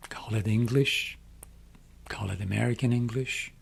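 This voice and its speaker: A middle-aged man with an Iranian accent speaks English slowly and deliberately, leaning on each phrase. His voice is gravelly and somewhat husky. He sounds like he is making an earnest inspirational appeal. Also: lowish voice. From Iran. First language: Farsi. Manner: slow, deliberate, emphatic phrasing. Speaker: speaker addressing young people.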